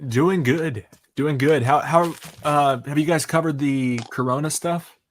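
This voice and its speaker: A man joining a casual group voice chat, rambling and halting as he talks. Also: on the high side.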